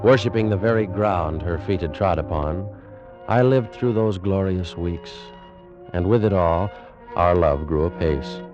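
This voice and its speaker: Casting: ancient priest in love, very low voice, solemn theatrical delivery, muffled vintage radio sound. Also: male voice, a little slow, music in the background. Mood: solemn, brooding. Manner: solemn, formal, theatrical declamation. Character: ardent ancient Egyptian priest, anguished lover telling his past. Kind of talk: old-time radio drama acting.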